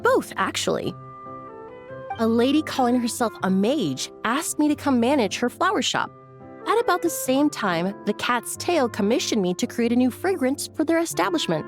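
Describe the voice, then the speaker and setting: A woman's voice: sits high, big pitch swings, moderate pace. An actress playing a refined, courteous young woman in a video game, speaking with polite, precise formality. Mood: gracious. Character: refined, courteous young female perfumer with discerning tastes. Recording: faint music behind the voice. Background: faint game music.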